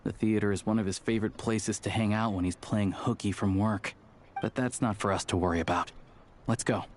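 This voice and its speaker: A man voicing a composed male guide in a video game, explaining things in a calm, matter-of-fact way. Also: on the low side, brisk, a little hiss or hum, natural voice. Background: faint game music.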